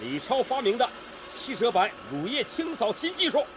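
An actor playing an authoritative, emphatic steel-factory director in a Chinese radio drama. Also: male voice, extremely high pitch, big pitch swings, normal speed, very noisy. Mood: proclaiming.

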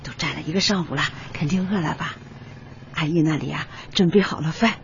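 A woman's voice: low-pitched, a little fast, creaky, noisy background. Pleading, fussy mother, slightly muffled. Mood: coaxing. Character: pleading, doting, persistent middle-aged mother, fussy and eager. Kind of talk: radio drama acting. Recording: slightly dull audio, music behind.

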